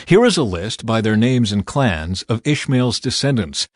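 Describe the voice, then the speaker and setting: A man reading scripture as a scripted audiobook narration, with measured, dramatized storytelling delivery. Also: medium pitch, big pitch swings, no echo, studio quality. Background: background music.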